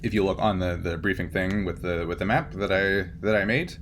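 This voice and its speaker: A man's voice: deep voice, brisk, noisy background. A man narrating as game master of a tabletop roleplaying game, improvising and often searching for words.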